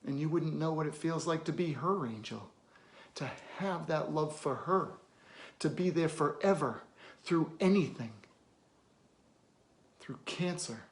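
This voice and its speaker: A man plays a middle-aged therapist in a dramatic film monologue, weary, wounded and blunt. The voice sits slightly high for a man, with a pronounced creak. He speaks deliberately, leaving weighty pauses and leaning hard on key words. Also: expressive. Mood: tender, grave. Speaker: actor.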